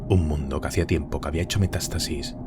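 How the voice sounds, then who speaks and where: Deep voiced guy narrating a grim war story, steady n grave, big music under. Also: very fast. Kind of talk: dramatic scripted narration. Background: very loud music.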